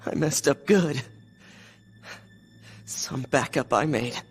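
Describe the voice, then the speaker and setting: An actor playing a self-assured, encouraging rescue team officer in a dramatic video game. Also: male voice, medium pitch, takes it slowly, slightly rough, faint music behind. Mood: pained hope.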